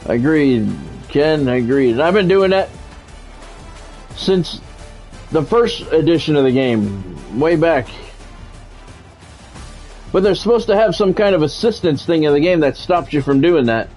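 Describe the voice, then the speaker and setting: A man's voice: fairly high pitch, sing-song, a little slow, long pauses, darkish tone, soft background music. A man doing live play-by-play of a football video game, mixed with blunt, salty, conversational asides. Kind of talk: live sports play-by-play commentary mixed with casual chat.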